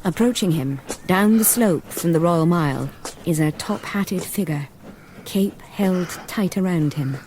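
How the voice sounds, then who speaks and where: An actress playing a sober investigator, narrating a gothic radio drama in a grave, measured way. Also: female voice, fairly low pitch, darkish tone, a little hiss or hum, lightly acted. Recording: music behind.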